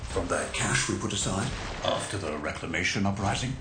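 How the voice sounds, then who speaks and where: A man acts out a rebel crew's brainy technician for a video game. The voice is deep and echoes, with loud music behind it. The delivery is composed, analytical and methodical. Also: natural voice. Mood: calm, focused. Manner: measured, analytical. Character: calm, methodical male tech genius of rebel crew. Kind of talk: video game character acting. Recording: echoing voice under loud music.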